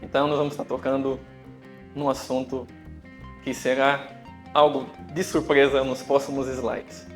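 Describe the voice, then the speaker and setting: A man from Angola giving a conference talk, with an Angolan accent touched by Brazilian Portuguese, speaking hesitantly with many fillers and pauses. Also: mid-range voice, flat delivery, faint music behind. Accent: Angolan Portuguese with some Brazilian. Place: conference venue.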